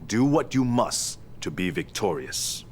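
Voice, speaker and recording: An actor playing a composed imperial prince in a video game cutscene, speaking courteously, deliberately and earnestly. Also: male voice, lowish voice, sing-song, background noise. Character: composed, forward-looking male imperial prince.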